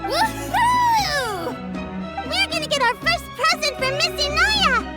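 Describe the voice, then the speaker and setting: Tiny excitable fairy sidekick, very high childlike voice, animated swoops, game acting, music behind. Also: female voice, slow pace, heavily acted. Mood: excited.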